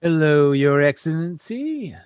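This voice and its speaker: Man playing a high-pitched, exasperated starship captain. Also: very animated, unhurried, a little mellow, clear, resonant tone. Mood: pleading. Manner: blustering, pleading, exasperated. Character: exasperated, tactless human male starship captain. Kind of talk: sci-fi comedy radio acting.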